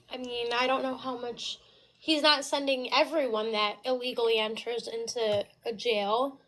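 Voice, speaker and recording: A woman in her twenties from the United States, speaking with a very high voice in a casual debate, halting at first, then insistent. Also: steady tone, a bit bright, a little hiss or hum, rough recording. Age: 20s. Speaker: caller.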